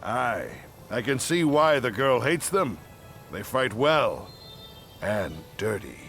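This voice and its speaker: A man playing a gruff, loyal warrior in a fantasy game, terse and sombre. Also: mid-range voice, big pitch swings, slow pace, fairly bright tone, soft background music, full character voice.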